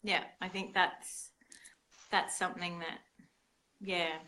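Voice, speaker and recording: Slow, soft-spoken bright female host. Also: lowish voice, flat delivery, slight background noise, rough recording. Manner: soft-spoken, hesitant, many fillers. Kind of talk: casual conversation.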